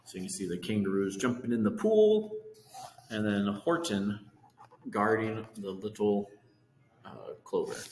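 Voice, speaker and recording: Low-voiced man reading in an echoey room. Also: animated, measured pace, long pauses, darkish tone. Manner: explanatory, addressing young listeners. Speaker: story reader. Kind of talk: animated children's storybook read-aloud with asides. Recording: echoey hard room, long reverb, hiss.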